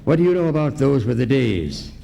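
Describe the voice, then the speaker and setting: An actor playing a pompous, courteous, scheming villain in a radio noir parody, formal in speech. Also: male voice, medium pitch, very animated, a little fast, creaky. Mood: suave. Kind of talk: comic old-time radio parody acting. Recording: faint noise on live recording.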